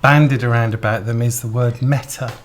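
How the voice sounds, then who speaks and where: A man's voice: mid-range voice, steady tone, fairly quick. A man hosting an interview, putting questions in a conversational way. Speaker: interviewer, host.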